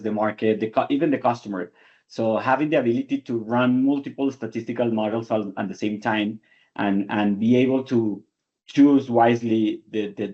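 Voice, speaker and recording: A man from Colombia on a panel, speaking haltingly with many restarts. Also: medium pitch, darkish tone. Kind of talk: panel discussion. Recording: muffled lo-fi audio.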